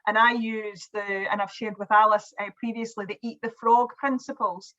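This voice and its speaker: A woman's voice: medium pitch, level tone, normal speed, pushed and bright, lo-fi sound. A woman presenting on an online webinar, chatting casually with fillers and restarts over a video call. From Scotland.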